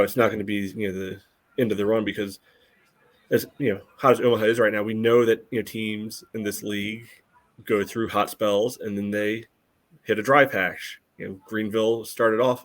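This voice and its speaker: A man with a fairly low voice offers casual sports analysis. He talks informally, like a panelist among friends, with loose phrasing full of fillers. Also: fairly bright tone. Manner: informal, peppers talk with "you know". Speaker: podcast panelist.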